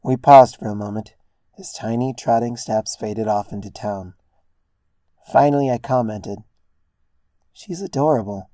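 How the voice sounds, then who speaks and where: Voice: mid-range voice, a little slow, mellow, no room sound. A narrator reading a first-person story as scripted narration. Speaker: fan fiction narrator.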